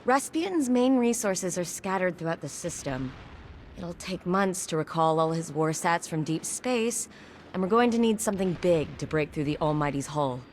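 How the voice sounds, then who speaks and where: A woman's voice: on the low side, expressive, darkish tone, slight background noise, close to an everyday voice. An actress playing a confident, wry young woman engineer who briefs the player in a video game. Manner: wry, brisk and self-assured. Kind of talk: scripted video game dialogue.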